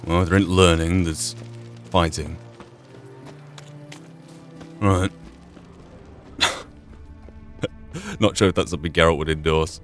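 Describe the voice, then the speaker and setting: Male gaming host, low voice, casual understated play-by-play, musing aloud over soft game music. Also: lively intonation, slow pace, pauses often. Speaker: gamer, playthrough host. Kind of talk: casual gameplay commentary.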